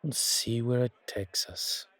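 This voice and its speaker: A man playing a suave, centuries-old vampire in a horror ASMR roleplay, languid and genteel with quiet menace and a husky, breathy voice. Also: mid-range voice, fairly even intonation, slow, no echo, a faint crowd. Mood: charming.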